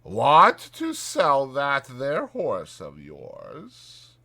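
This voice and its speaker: A man voicing a shrewd, gruff horse dealer in a storybook, with a careless, terse, drawn-out delivery. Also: mid-range voice, huge pitch swings, bright, forceful tone, full character voice. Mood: careless, teasing. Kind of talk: dramatized storybook reading.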